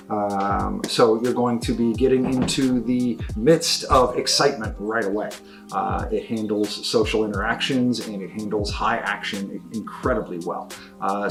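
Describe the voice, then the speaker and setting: A middle-aged man giving a casual, enthusiastic and discursive review of new tabletop games as a store presenter. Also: lowish voice. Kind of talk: casual product review talk to camera.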